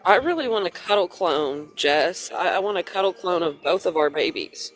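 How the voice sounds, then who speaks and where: A man on a phone live stream, crying and stuffy from congestion, speaking haltingly through grief. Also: high voice, lively intonation, a bit bright, faint music behind, poor audio. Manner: grieving, halting, tearful. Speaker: livestream host. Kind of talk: emotional live stream talk.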